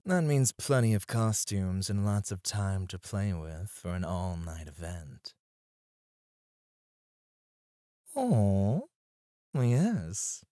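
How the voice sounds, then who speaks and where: A man's voice: on the low side, sing-song, takes it slowly, mellow, no echo. Man acting as suave male stripper, teasing, self-assured, swooping intonation, dry close recording, ASMR roleplay. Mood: businesslike flirting. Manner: teasing, suave, breathy asides and sighs. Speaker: ASMR voice actor.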